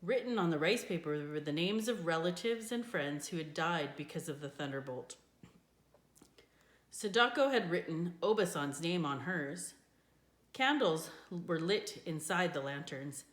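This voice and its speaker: A woman reading a children's book aloud in an expressive storytelling style. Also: fairly low pitch, unhurried. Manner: expressive, with character voices for dialogue. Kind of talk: scripted storybook narration, read aloud. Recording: echoey room.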